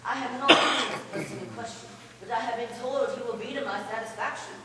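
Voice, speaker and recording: A woman playing a cranky, bossy old hypochondriac father in an amateur stage comedy, loudly declaiming, recorded from far off in an echoing hall. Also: a little high, fast, natural voice. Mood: pleased, pompous. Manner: loud theatrical scolding and declaiming. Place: large echoing room at a staged play. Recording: echoey hall from far off, background noise.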